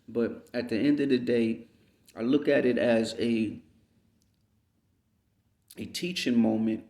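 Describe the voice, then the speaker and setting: A man from the United States giving an unhurried, rambling reflective monologue as a vlogger, often checking in with "you know what I mean.". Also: medium pitch, creaky, warm tone. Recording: roomy echo.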